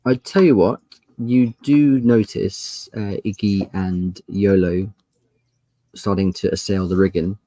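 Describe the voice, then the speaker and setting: A man's voice: low-pitched, fairly even intonation, slow pace, warm tone, no echo, poor audio. A man running a tabletop role-playing game as game master, narrating casually and thinking aloud as he goes.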